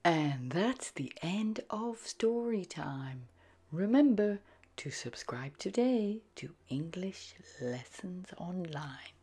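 Very slow, breathy woman reading a storybook. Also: on the low side. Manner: animated, sing-song. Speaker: children's story reader. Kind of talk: expressive scripted storybook read-aloud for young children. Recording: background music under the voice. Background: music.

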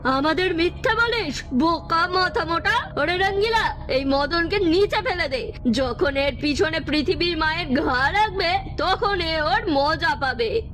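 A woman's voice: extremely high pitch, animated, unhurried, over music. A woman voicing a bossy, mocking moon who guards a village, scolding and taunting. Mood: vengeful.

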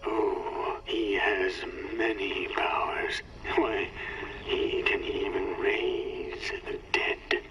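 An actor playing a paranoid caller on a radio show, ranting frantic warnings. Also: male voice, lowish voice, little pitch movement, extremely slow, heavy background noise. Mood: eerie whisper. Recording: dull call-in line over loud music.

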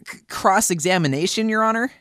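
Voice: mid-range voice, brisk, fairly bright tone, no room sound, clean studio sound, natural voice. An actor playing a young, unsure rookie defense lawyer in an anime-style game fan dub. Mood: nervous, flustered.

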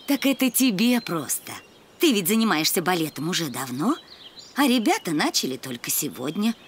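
A woman voicing a wise, kindly older bee in a cartoon, reasoning gently. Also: lowish voice, sing-song, moderate pace, very noisy. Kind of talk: cartoon voice acting. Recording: music under the voice.

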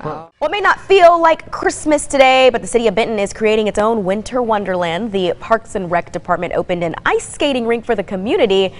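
A woman's voice: fairly high pitch, expressive, fairly quick, big-room sound. Woman anchor, polished scripted read. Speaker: news anchor. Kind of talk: studio news reading.

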